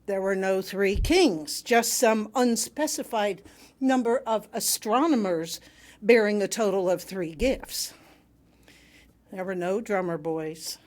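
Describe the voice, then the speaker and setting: Lady reading out a sermon, mid pitched voice, measured and emphatic, bit of dry wit. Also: darkish tone. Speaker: reader delivering another minister's sermon. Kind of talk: sermon read aloud to a congregation.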